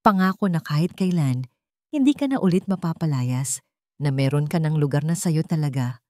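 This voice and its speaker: A devoted, teasing man in love, acted by a woman for a romantic audio drama. The delivery is earnest and mellow, with swooping intonation and a dry sound. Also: low-pitched, expressive, normal speed, no room sound. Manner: earnest, tender. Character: devoted, gently teasing man courting a cafe owner.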